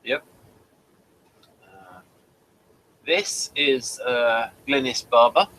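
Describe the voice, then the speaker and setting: A man's voice: mid-range voice, extremely slow, bright, forceful tone, rough recording. A man hosting a casual review chat, commenting as he goes through pictures. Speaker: host of review show. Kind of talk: casual commentary over images. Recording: faint background noise.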